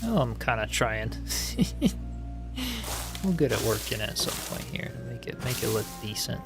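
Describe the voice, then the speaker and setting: A man's voice: medium pitch, normal speed. Male streamer, easygoing and rambling, mid-range voice, faint music underneath, reads his chat as he builds. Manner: relaxed, rambling, reads chat aloud, frequent likes and you-knows. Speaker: game streamer. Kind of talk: casual live-stream chat while building in a game.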